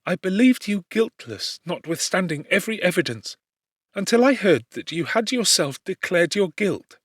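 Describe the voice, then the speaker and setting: A man voicing a tender, distressed young gentlewoman in an audiobook, speaking in an imploring, emotional and earnest way. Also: sits high, very animated, moderate pace, husky, no echo, clean studio sound, pitched above the performer's own voice, exaggerated voice. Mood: gentle, earnest. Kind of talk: dramatic audiobook character reading.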